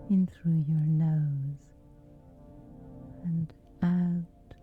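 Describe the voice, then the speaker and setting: A woman whispering softly as she guides a gentle sleep meditation, calm and soothing. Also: deep voice. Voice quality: soft whisper. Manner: slow gentle soothing delivery. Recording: lo-fi narrow sound with soft music.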